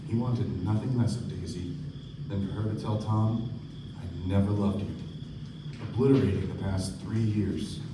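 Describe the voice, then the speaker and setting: A man's voice: lowish voice, steady tone, moderate pace, background noise, natural voice. A man acting a reflective young narrator who recalls wealthy friends in scripted literary prose for a live radio play. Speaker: actor narrating. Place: stage of a live performance. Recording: echoey stage from far, music behind.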